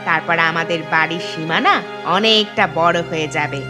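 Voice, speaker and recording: A woman's voice: on the low side, normal speed, music in the background, close to an everyday voice. A woman voicing a spiteful, taunting village housewife in a cartoon, with mocking, sarcastic jibes. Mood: scheming. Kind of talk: cartoon voice acting.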